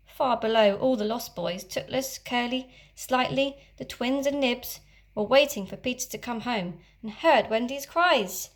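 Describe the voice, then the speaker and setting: Female teacher, story-time narrator, expressive, slightly gravelly. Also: a little high. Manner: expressive, gently animated storytelling. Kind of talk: reading a children's story aloud.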